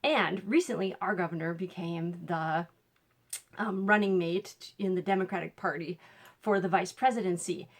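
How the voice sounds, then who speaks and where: A woman's voice: on the low side, moderate pace. A woman with a Minnesotan accent, a coach talking casually to camera about learning differences, chatty and upbeat with small laughs and fillers. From Minnesota, USA. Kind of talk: casual direct-to-camera talk.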